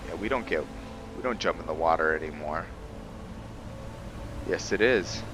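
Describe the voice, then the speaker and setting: A man giving casual commentary on a roleplay game, halting and musing as he thinks aloud. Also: low-pitched, animated, noisy background. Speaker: host of a game roleplay series. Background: background music.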